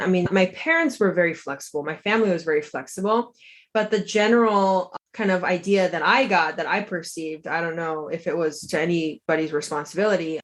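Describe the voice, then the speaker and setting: A woman in her thirties who grew up in South Korea answers interview questions about her path into law. She talks in a reflective, chatty way, full of "like" and small restarts. Her voice sits a little low for a woman. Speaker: guest, lawyer and health coach.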